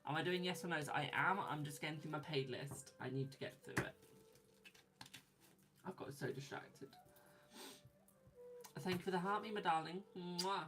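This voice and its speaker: A man's voice: fairly high pitch, moderate pace, long pauses. A man in his twenties, a tarot reader, chatting casually on a live stream and reading viewer comments aloud, laughing often. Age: 20s.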